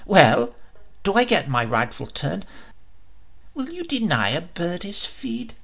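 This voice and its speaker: A man's voice: sits high, huge pitch swings, slow, creaky, a bit bright, exaggerated voice. A man doing a fussy, haughty talking dove. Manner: fussy, haughty and easily offended. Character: pompous prim male dove, a transformed prince.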